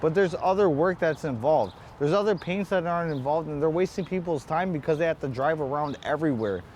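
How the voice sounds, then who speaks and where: A frustrated man with an Ontario Canadian accent vents in a street interview. His voice is fairly high and agitated, circling back on the same phrases. Faint outdoor city noise sits behind him. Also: brisk, a little mellow. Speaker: painting contractor interviewed on the street. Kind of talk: street interview, impassioned venting.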